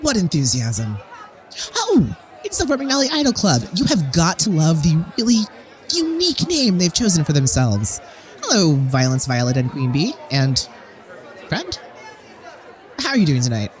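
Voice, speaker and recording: Female talk show host, played by an actress, self-promoting, backhanded, very animated delivery over crowd murmur. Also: sits low, moderate pace, long pauses. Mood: arch, upbeat. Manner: gushing with dry, backhanded politeness. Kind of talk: broadcast interview in audio drama.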